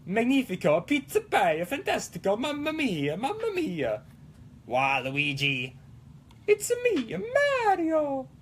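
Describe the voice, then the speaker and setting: A man doing a high, excitable cartoon Italian guy. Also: takes it slowly, slight background noise, full character voice. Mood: excited. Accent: mock Italian. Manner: exclamatory, playful, sing-song broken English. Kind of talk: live-streamed prop-making vlog in a put-on accent.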